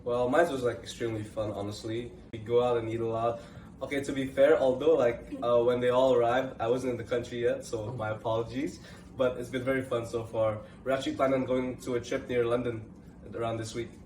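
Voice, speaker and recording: A young Indonesian man talks quickly and casually in a panel chat, dropping in little fillers as he goes. He sounds set back from the mic in an echoing room, with clear background noise around him. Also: mid-range voice, flat delivery, darkish tone. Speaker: co-host. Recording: roomy echo, distant mic, audible room noise.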